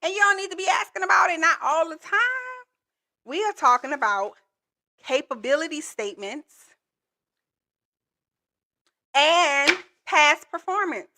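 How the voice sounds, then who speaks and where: A woman coach teaching viewers on a livestream, high-energy and playful, talking straight to her audience. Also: lively intonation, a little slow, pauses often, pushed and bright. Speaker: government contracting coach.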